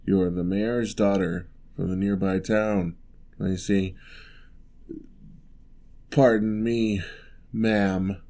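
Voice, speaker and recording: A man playing a huge, gentle, courteous giant in an ASMR roleplay, speaking softly with wry, teasing, old-fashioned politeness. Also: fairly low pitch. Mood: warm, teasing. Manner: unhurried, soft-spoken, old-fashioned courteous phrasing.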